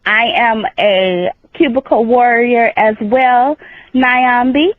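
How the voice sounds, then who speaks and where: Soft-spoken woman, light higher voice, slow delivery, on a dull, dry voicemail recording. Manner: warm praise, halting with fillers. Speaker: caller leaving a voicemail. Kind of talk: voicemail message. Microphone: phone voicemail.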